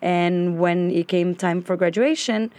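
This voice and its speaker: A woman in her thirties from Argentina, a native Spanish speaker and restaurant owner, telling her story as a studio interview guest, animated and laughing between phrases. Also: on the low side, a little slow.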